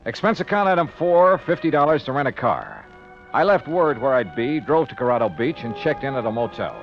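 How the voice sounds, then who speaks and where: A man's voice: medium pitch, big pitch swings, a little fast. An actor playing a breezy, hardboiled male insurance investigator in a punchy 1950s radio drama style. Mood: brisk narrating. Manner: wry, punchy, clipped narration. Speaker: lead actor and first-person narrator. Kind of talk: 1950s radio detective acting. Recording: dull vintage radio recording, music behind.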